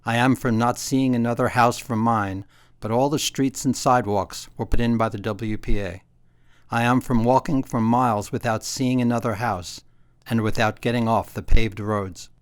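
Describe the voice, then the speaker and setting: An older man recites memories of where he grew up in a plain, steady voice. The recording is dry and close, with no room sound. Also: mid-range voice, normal speed, smooth, no echo. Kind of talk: personal memoir recitation.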